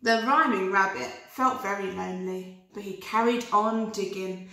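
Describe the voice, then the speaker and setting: A British woman reading a storybook to young children like a teacher, pausing to explain, in an echoey room. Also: medium pitch, fairly even intonation, bright, forceful tone. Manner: explains words to young listeners. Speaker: primary school teacher reading aloud.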